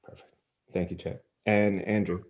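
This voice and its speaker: A man's voice: on the low side, flat delivery, moderate pace, a little mellow. A man hosting an interview, handing over between guests with short questions and thanks. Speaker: host, interviewer.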